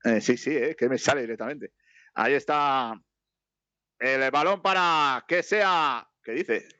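Man, Spanish accent, football commentary, rambling chat, rises on attacks, mid-pitched, dry dull sound. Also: a little slow, dry recording. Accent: Spanish from Spain. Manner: rambling, lifts to excited calls on attacks. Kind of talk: casual live match commentary.

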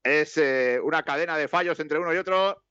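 A man's voice: fairly high pitch, a little fast, smooth, no room sound, lo-fi sound. Spanish man calling a match, rambling. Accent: Spanish from Spain. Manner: rambling, lifts to excited calls on attacks. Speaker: football commentator. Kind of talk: casual live match commentary.

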